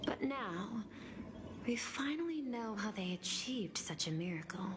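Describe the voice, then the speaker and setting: A woman playing a composed, formal administrator in a video game, speaking in a measured, analytical way. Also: medium pitch, expressive, background noise, close to an everyday voice. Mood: composed, resolute. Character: composed, formal female administrator of a threatened homeworld. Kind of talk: scripted video game dialogue.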